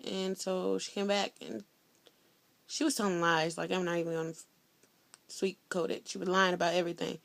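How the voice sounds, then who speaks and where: A teenage girl from Indiana telling a story in a blunt, sassy, chatty way, loud-voiced and full of slang. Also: fairly low pitch, flat delivery, moderate pace, gravelly, pauses often, no echo. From Indiana, USA. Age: teens. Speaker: vlogger. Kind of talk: casual storytelling vlog.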